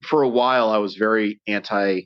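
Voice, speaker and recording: Emphatic, low-voiced American man on a dull line. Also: fairly even intonation, a little fast, clean tone, no echo. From United States. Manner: passionate, emphatic storyteller. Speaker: guest, survival instructor. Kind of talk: casual interview talk. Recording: dull lo-fi sound.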